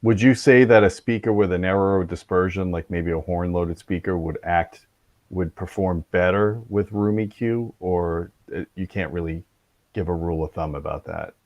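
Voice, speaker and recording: A man's voice: sits low, moderate pace, darkish tone, no echo, lo-fi sound. A man hosting a technical discussion in a casual, conversational way. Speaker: host.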